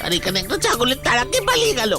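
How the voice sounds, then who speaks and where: A woman's voice: high-pitched, wildly swooping pitch, racing. A woman voicing a chatty, pushy young schoolchild in a cartoon, talkative and exclamatory. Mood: scornful. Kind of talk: cartoon dub acting. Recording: music behind.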